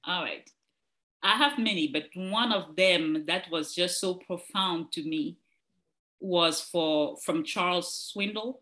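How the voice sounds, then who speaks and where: A woman's voice: fairly low pitch, slow, bright, forceful tone. A woman from Cameroon, a nurse practitioner guest, chatting and explaining plainly, laughing readily. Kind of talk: casual interview chat.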